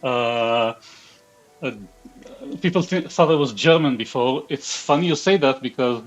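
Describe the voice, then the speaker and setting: A man with an Israeli accent hosts a live game stream, answering chat and muttering clipped fragments to himself as he works. His voice sits at a middle pitch. The sound is dull and lo-fi. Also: a little slow, faint music behind. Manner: mutters half-sentences to himself while building a character. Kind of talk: live gaming stream, reading chat aloud.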